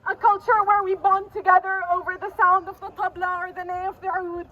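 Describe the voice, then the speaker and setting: Impassioned, very high-pitched woman speaker. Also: little pitch movement, brisk, dull audio. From Palestinian diaspora. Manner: impassioned, declamatory, repeating phrases. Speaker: protest speaker, poet. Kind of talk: spoken-word poem delivered as a public speech. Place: outdoors at a city street protest. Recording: noisy outdoor street with traffic ambience.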